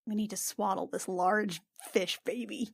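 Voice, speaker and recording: A woman's voice: medium pitch, fairly even intonation, measured pace, gravelly, husky, no room sound, studio quality. A woman playing in a tabletop roleplaying podcast, telling an improvised story in a casual, playful way.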